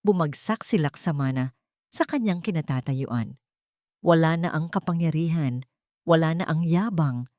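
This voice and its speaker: A woman's voice: low voice, unhurried, darkish tone, no echo, clean studio sound. A woman narrating an audio drama in a dramatic scripted storytelling style.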